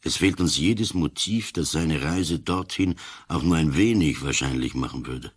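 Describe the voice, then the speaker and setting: An older man playing a gruff, cunning old police commissioner in a radio drama, slow and deliberate, weighing each word. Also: heavily acted. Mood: measured. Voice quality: husky, very deep.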